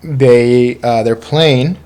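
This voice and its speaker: A man's voice: medium pitch, extremely slow, darkish tone, smooth. A man chatting casually as a podcast co-host, rambling along in loose slang with casual swearing. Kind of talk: casual chat.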